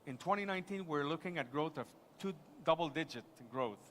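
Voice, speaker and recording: A man speaking as an airport executive at a live conference panel, halting and restarting his sentences. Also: on the high side, animated, fairly bright tone, a little hiss or hum. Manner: halting, many restarts and fillers.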